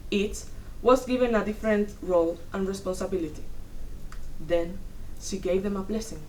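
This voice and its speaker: A young woman giving a dramatic reading of a story, in measured, deliberate short phrases. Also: medium pitch, mellow. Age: young adult. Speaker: student performer in a dramatic reading. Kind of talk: dramatic storytelling read from a script. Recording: distant mic, noisy room.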